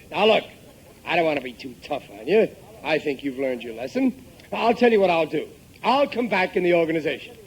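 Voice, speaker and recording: Blustering middle-aged working-class dad, high voice with big swoops, gullible and cocky, old radio sitcom. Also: male voice, lively intonation, normal speed, low-quality recording. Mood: smug. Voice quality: exclamatory, ungrammatical working-class speech. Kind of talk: 1950s radio comedy acting. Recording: vintage 1950s radio recording.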